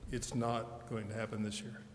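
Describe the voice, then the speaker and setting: A man answers questions in an official setting, polite and matter-of-fact. His delivery is very even in pitch, and the room throws back a strong echo. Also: normal speed. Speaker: police chief. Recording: echoey council chamber, faint noise.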